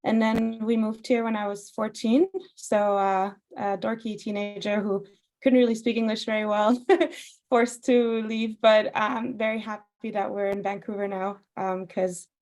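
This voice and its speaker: A woman's voice: mid-range voice, level tone, unhurried, darkish tone. Chatty young woman, Farsi native, laughing. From Austria. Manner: chatty, laughs often, many "like" and "um". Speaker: singer, podcast guest. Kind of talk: casual interview chat.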